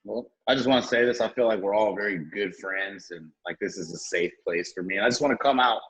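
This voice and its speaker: A man's voice: fairly low pitch, fast talker, a bit bright, poor audio. A man in his thirties from the Southern US, talking casually on a discussion panel, rambling with restarts and fillers. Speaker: panel guest.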